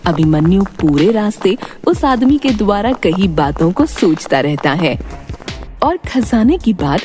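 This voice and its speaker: A woman tells a dramatic children's story at a slow pace. Her slightly low voice swings widely in pitch as she narrates. Music plays behind her. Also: very animated, a little mellow. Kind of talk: dramatic scripted narration of a children's cartoon tale.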